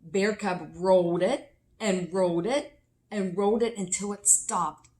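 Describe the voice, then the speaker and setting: A woman reading a picture book aloud expressively for children, with deliberate emphasis. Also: medium pitch, darkish tone. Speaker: children's story reader.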